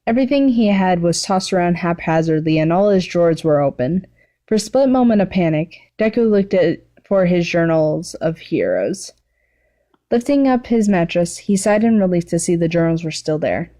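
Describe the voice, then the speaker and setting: Mellow, low-voiced woman reading a story. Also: lively intonation, moderate pace. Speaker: narrator. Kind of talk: fan fiction read aloud.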